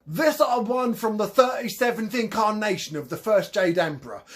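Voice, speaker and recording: A man's voice: high-pitched, expressive, moderate pace, voice pushed up. A man voicing a shabby, boastful old male conman with a thick Cockney accent and grandiose salesman patter. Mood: wheedling.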